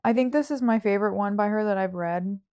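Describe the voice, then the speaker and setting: A woman in a relaxed, informal book conversation. Her pitch sits in the middle of the range. She hedges her opinions and peppers her sentences with "like," as a podcast host talking to a friend would. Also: quick pace, smooth. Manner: informal, hedging, frequent "like". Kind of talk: casual book chat.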